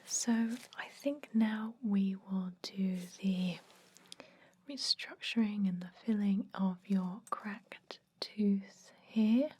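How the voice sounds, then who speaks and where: A woman playing a gentle, chatty vampire dentist in an ASMR roleplay, whispering slowly and breathily to soothe and confide. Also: medium pitch, breathy edge. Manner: slow, soothing, hesitant, confiding.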